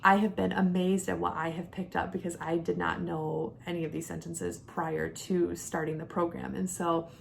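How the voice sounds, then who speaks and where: A woman's voice: fairly even intonation, normal speed, hardly any pauses. A woman in her thirties giving a casual, conversational product review as a homeschooling mom, explaining things step by step. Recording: clear background noise with low rumble.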